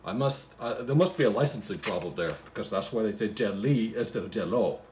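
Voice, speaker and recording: A man's voice: on the low side, animated, fairly quick, a little mellow, slight background noise, close to an everyday voice. A man playing a goofy, baffled storybook reader who mixes poem recital with slangy, mock-indignant muttered asides. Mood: skeptical. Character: Floyd, goofy, baffled, easily sidetracked male storybook reader. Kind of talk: comic poem reading with rambling asides.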